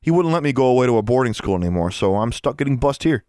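A man's voice: medium pitch, lively intonation, fast talker, warm tone, smooth, no room sound, clean studio sound, pitched above the performer's own voice, lightly acted. A man voicing a sardonic schoolboy in an audiobook, talking in a casual, slangy, unimpressed way. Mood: matter-of-fact. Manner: wry, casual, sarcastic.

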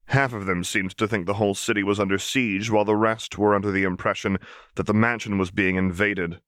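A man reading a story as an audiobook narrator, expressive and wry in his storytelling. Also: fairly low pitch, a little fast, no echo, clean studio sound. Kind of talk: expressive scripted fiction narration.

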